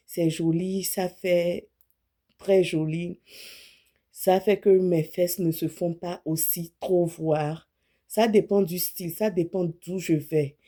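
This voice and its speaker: A woman in her late thirties talks to her viewers like a friend, giving clothing advice with easy frankness. Her voice is warm and mellow, and she often stops to rephrase or correct a word as she goes. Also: on the low side. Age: 30s. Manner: chatty, self-correcting, speaks frankly about her own body. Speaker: image consultant. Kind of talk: casual talk to camera.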